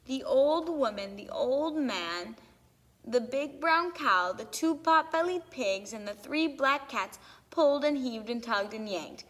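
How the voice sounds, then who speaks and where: A woman reading a children's story aloud with expressive, theatrical emphasis on lists and sound words. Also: sits high. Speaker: storybook reader. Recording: long hall-like reverb.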